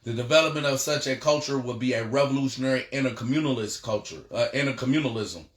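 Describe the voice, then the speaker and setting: A man's voice: medium pitch, quick pace. An American man giving a political education lecture in an emphatic, rallying manner, often stumbling and repeating himself. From United States. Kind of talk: impassioned political lecture with read-out passages. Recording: roomy, echoing sound.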